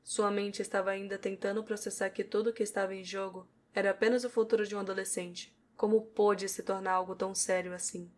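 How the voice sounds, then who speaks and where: A woman's voice: medium pitch, level tone, fairly quick, few pauses. A woman with a Brazilian accent reading scripted narration for an audio novel.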